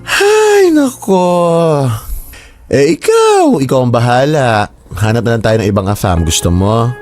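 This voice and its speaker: A man's voice: mid-range voice, sing-song, unhurried, darkish tone, dry recording, faint music behind. A man playing a flamboyant gossipy best friend. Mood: dramatic. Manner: theatrical, swooping exclamations. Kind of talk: radio drama acting.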